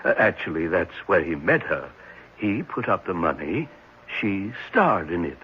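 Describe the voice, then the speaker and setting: A man's voice: deep voice, sing-song, a little slow. A man playing an assured, inquiring sanitarium doctor in a 1970s radio drama. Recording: dull, slightly noisy 1970s radio recording.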